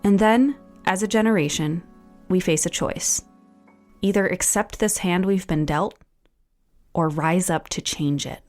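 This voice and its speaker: Lady cohost doing trailer narration, lowish, earnest, bit of guitar behind her. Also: measured pace, a little mellow. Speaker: co-host and narrator. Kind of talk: scripted documentary narration.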